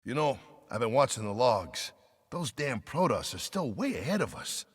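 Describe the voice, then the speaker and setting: A raspy, animated man's voice in a reverberant space, as an exasperated soldier. He gripes casually and incredulously, in video game dialogue with swooping pitch. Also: moderate pace. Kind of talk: naturalistic game dialogue acting. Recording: long reverb of a large room.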